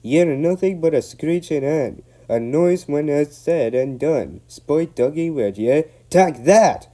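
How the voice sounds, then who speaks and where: A man voicing a grumbling male steam engine with a gruff, scolding Scottish accent. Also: on the high side, animated, unhurried, warm tone, pitched above the performer's own voice.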